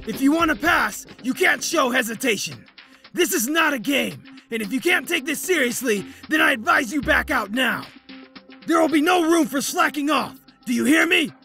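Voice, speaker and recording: A man's voice: very high-pitched, lively intonation, gravelly, sharp tone, faint music behind, full character voice. A man playing a harsh, commanding military drill instructor who barks at recruits in a fan audio drama. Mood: stern, commanding. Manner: barking, commanding, drawn-out emphasis.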